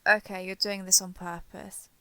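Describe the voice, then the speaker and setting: A woman playing a shy, guarded former villain turned ally in an intimate romance audio roleplay, halting, defensive and awkwardly sincere. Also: mid-range voice, level tone, sharp tone, dry recording. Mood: grudging, defensive.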